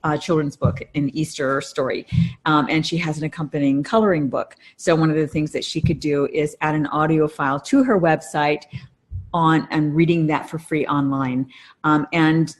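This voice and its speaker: Low-voiced middle-aged woman co-hosting a podcast. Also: fairly even intonation, normal speed. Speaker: podcast co-host. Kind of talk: casual conversational podcast talk.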